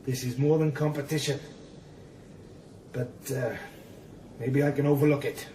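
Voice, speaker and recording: An actor playing a controlled, quietly threatening criminal financier in a radio thriller, speaking with measured, businesslike menace. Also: male voice, medium pitch, steady tone, slight background noise, lightly acted. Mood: measured, wary. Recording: echoey, distant, faint background ambience.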